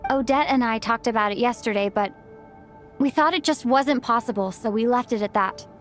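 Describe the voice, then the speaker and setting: An actress playing a thoughtful, level-headed young female traveler in a video game, speaking in a measured, reflective way. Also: fairly high pitch, fairly bright tone, soft background music, lightly acted. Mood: regretful. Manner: measured, reflective, reasoning things through. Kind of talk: video game cutscene acting.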